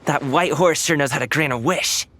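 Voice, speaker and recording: A woman's voice: deep voice, moderate pace, very noisy, natural voice. A woman voicing a brash, bossy possessed youth in a game, with a pushy, cocky, slangy delivery. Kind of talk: game cutscene acting.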